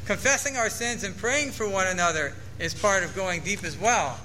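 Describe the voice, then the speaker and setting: A man's voice: sits high, normal speed, bright, forceful tone, a little hiss or hum, rough recording. A man preaching a sermon to his church, earnestly reading scripture aloud and explaining it. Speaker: pastor.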